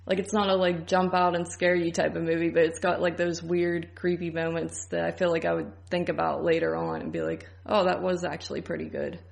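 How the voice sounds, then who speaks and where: A woman's voice: on the low side, steady tone, brisk, creaky, hardly any pauses. A woman co-hosting a podcast, giving her opinions in casual film review chat. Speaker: podcast co-host.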